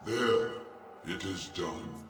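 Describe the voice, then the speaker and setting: A weary, ancient golem who was once a dwarf smith for a fantasy game. The voice is low and measured, with formal, grave phrasing. It rings in a huge echo, set back from the mic. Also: male voice, a bit bright, full character voice. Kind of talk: fantasy video game acting. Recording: very echoey, distant, music behind.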